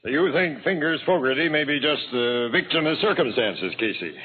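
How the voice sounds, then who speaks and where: Husky, animated police captain. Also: male voice, on the high side, normal speed, faint music behind. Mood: sardonic, reasoning. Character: wry, reasoning male police captain. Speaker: actor in a radio crime drama. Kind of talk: old-time radio drama acting. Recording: phone-like old radio recording.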